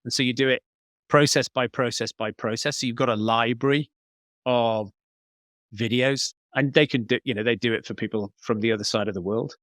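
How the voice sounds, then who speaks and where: A man's voice: mid-range voice, fairly even intonation, fairly quick, fairly bright tone, no echo. A man giving conversational interview answers as a podcast guest, explaining things as he thinks aloud. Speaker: podcast guest, business coach.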